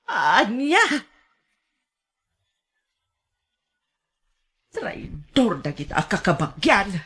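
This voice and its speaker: A woman's voice: mid-range voice, big pitch swings, a little slow, fairly bright tone, husky, full character voice. A woman playing an older, quarrelsome mother in a radio drama, aggrieved and defensive, blaming everyone around her. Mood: indignant. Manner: querulous, defensive. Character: aggrieved, quarrelsome gambling mother who blames her relatives.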